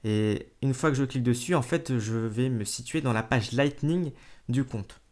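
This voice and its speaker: A man hosting a screen-recorded software tutorial, talking casually to the viewer with fillers and self-corrections. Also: mid-range voice, moderate pace. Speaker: tutorial host.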